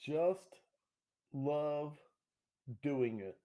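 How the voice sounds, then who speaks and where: A man's voice: a little high, expressive, very slow, dark tone. Upbeat man hosting a podcast. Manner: enthusiastic, laughing, playful. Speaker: podcast host. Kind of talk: casual interview chat.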